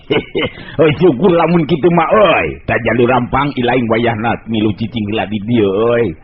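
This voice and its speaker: A man voicing a sly, lecherous old sorcerer in a Sundanese radio drama, with drawn-out gloating words and coarse address. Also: medium pitch, slightly rough. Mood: sly, commanding. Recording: narrow, hissy old radio recording.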